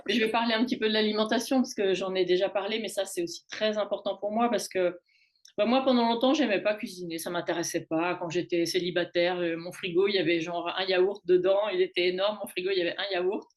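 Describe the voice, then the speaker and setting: A woman's voice: mid-range voice, steady tone. A woman in her late forties talking casually as a show guest, often stopping and restarting her sentences. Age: late 40s. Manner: halting, many restarts and fillers. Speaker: guest on a women's wellness talk show. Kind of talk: casual conversational talk. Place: in Canada.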